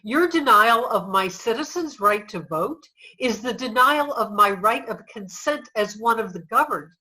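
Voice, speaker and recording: Woman as a defiant, slow-spoken suffragist, bright voice. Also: fairly high pitch, animated, poor audio. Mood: indignant, firm. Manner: oratorical, emphatic, deliberate. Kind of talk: reader's theater courtroom speech.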